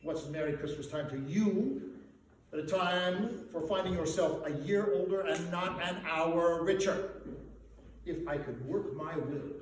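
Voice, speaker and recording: A man's voice: high voice, darkish tone. A man giving a dramatic storybook reading as a miserly, scornful old businessman with a barking, contemptuous manner. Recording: echoey room, distant mic, faint noise.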